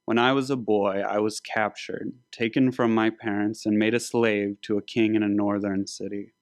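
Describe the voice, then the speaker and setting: A menacing warrior king of biblical times, performed by a male reader, grandiose and smoothly manipulative. He speaks formally in a low voice, in a dry storybook recording. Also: little pitch movement, moderate pace, dry recording, close to an everyday voice. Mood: grave, imposing.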